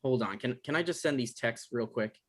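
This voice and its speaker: A man's voice: medium pitch, fairly quick, close to an everyday voice. A man playing a defensive, self-important novelist who whines and argues, in a table read of a screenplay. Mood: sheepish. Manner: argumentative, exasperated. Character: defensive, self-important male novelist, whiny and quick to anger.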